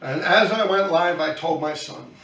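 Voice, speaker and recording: A man's voice: sits high, normal speed, fairly bright tone, echoey room, recorded from a distance, low-quality recording. A man, talking bluntly and profanely to his viewers in a rambling monologue. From New York City. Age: 50s. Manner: blunt, profane, talks in loose run-on asides. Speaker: YouTube channel host, graffiti writer.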